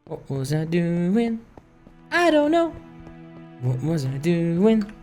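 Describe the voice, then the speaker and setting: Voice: medium pitch, sing-song, takes it slowly, a little mellow, soft background music. A person giving rambling, casual gaming commentary, musing and grumbling in an informal way. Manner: informal, musing, grumbling about small gripes. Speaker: gaming video host. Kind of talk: rambling casual Minecraft let's-play commentary.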